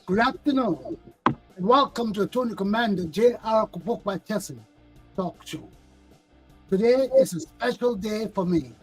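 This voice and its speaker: A man hosting an interview show, speaking in a formal, deliberate and ceremonious way. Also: high-pitched, slightly rough, faint music behind. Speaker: talk show host.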